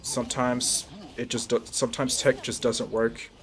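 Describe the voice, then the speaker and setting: A man's voice: medium pitch, level tone, moderate pace, creaky. A young man talking casually to his viewers while livestreaming a video game. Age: young adult.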